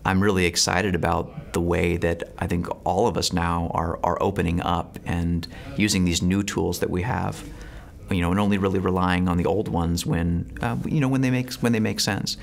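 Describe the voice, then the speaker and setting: A man's voice: low-pitched, fairly even intonation, moderate pace, vocal fry. A man talking thoughtfully in an interview.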